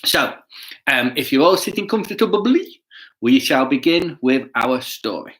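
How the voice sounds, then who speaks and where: A man reading a children's story aloud with chatty asides, playful and expressive, often putting questions to his listeners. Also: medium pitch, a little slow, low-quality recording. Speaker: children's bedtime story reader.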